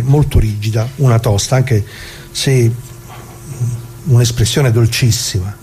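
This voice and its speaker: An actor playing an anguished, plain-spoken ordinary man who muses haltingly and repeats himself in a theatrical radio monologue. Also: medium pitch, mellow, natural voice. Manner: halting, musing, rhetorical questions, repeats himself.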